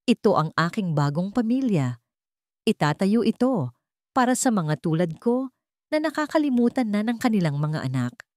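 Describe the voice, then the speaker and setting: A woman's voice: mid-range voice, darkish tone, dry recording, clean studio sound, voice pushed up, lightly acted. A woman voicing a betrayed elderly widowed father in an audio story, speaking solemnly, with emotion and emphasis. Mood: emotional, resolute. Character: betrayed elderly widowed father, dignified, wounded but resolute. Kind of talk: dramatic audio-story dialogue.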